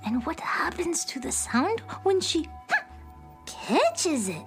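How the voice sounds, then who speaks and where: High, slow, puzzled young student. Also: female voice, big pitch swings, faint music behind, exaggerated voice. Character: curious, puzzled young music student, naive and questioning. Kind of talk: children's radio drama acting.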